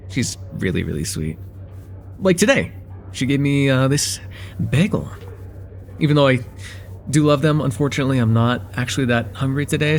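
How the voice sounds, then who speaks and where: A man's voice: mid-range voice, darkish tone, slight background noise. A man playing a friendly, chatty young guy at a cafe in a casual, slightly hesitant conversational ASMR roleplay.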